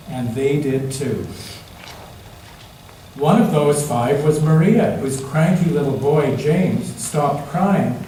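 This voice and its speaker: A man's voice: a little high, mellow. A man reading a picture book aloud to young children at church, repeating phrases and putting simple questions to them. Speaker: children's story reader at a church service. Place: large echoey room, church. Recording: echoey room, distant mic, faint noise.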